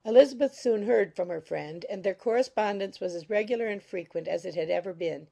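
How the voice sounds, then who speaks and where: A woman reading scripted audiobook narration in a measured, formal storytelling manner. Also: mid-range voice, vocal fry, warm tone.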